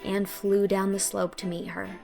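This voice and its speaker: A woman's voice: mid-range voice, little pitch movement, normal speed, creaky, mellow, soft background music. A woman narrating an audiobook in a storybook reading manner.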